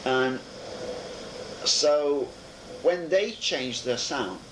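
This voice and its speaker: Halting male interviewer on old tape. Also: on the high side, expressive. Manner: halting, restarts and trailing sentences. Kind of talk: casual interview chat. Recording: echoey room, noisy old tape.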